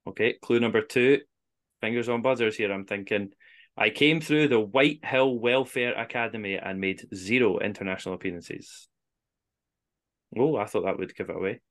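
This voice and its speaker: A man's voice: on the low side, normal speed, a bit bright. A man with a Scottish accent hosting an informal quiz, reading out clues and scores. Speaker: quiz host on a football podcast.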